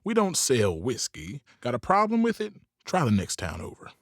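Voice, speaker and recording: An actor playing a gruff, guarded Old West saloon owner with a husky voice and curt, folksy, needling talk. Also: male voice, medium pitch, wildly swooping pitch, moderate pace, no echo, studio quality. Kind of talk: audio drama acting.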